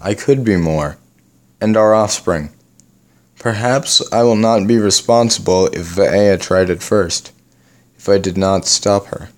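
Guy playing a wavering early human in an audio drama, lowish voice, earnest, kinda defensive n uneasy. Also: unhurried, a little mellow, lightly acted. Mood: tempted.

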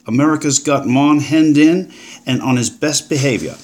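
An actor with a British accent playing a breezy, upbeat forty-year-old paleontologist full of old-fashioned slang. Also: male voice, mid-range voice, normal speed, a little mellow, lightly acted. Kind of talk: audio drama acting.

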